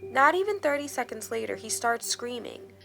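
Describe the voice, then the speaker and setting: Higher-pitched woman narrating, slightly creaky voice. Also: very animated, normal speed, pushed and bright. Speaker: horror story narrator. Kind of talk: scripted dramatic first-person narration. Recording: background music under the voice. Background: music.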